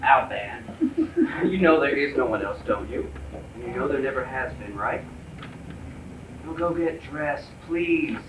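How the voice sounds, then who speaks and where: Man as an exasperated club owner, high-pitched, animated. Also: moderate pace, hardly any pauses. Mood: placating, weary. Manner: sarcastic, excitable. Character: exasperated middle-aged nightclub owner, long-suffering husband. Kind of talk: stage musical comedy acting. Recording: distant echoey dull stage recording, noisy.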